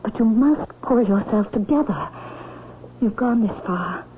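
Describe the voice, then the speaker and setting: A woman's voice: medium pitch, lively intonation, a little slow, lightly acted. A woman playing a possessive, scheming aristocratic widow in a vintage radio drama, insistent and imperious, with melodramatic delivery. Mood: coaxing, possessive. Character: possessive, scheming aristocratic widow, turning vindictive when spurned. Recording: tinny, hissy old radio drama recording.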